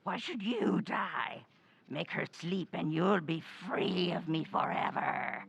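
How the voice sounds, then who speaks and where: A woman's voice: on the low side, creaky, breathy edge, no echo, heavily acted. A woman playing a menacing, bloodthirsty undead old woman who taunts and commands in old-time radio horror style. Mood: commanding, urgent. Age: older. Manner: slow, taunting, commanding, gloating.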